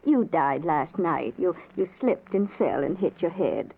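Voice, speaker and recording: A gentle ghostly wife with a low, swooping voice, patient and genteel in old radio drama style. The sound is muffled and narrow. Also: female voice, lively intonation, measured pace, breathy edge, heavily acted. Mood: soothing. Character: gentle, soothing ghost wife guiding the newly dead. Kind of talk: 1940s radio drama acting.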